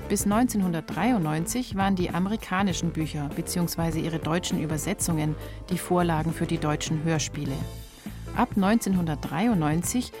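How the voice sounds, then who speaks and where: A woman's voice: lowish voice, normal speed, music in the background. A woman reading scripted documentary narration as a feature reporter.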